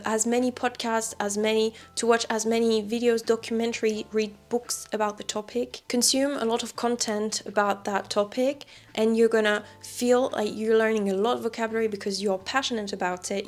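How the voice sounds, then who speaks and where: Woman giving chatty language tips. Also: on the high side. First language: French. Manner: chatty, relaxed, with light laughs. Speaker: language teacher. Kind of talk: casual advice vlog.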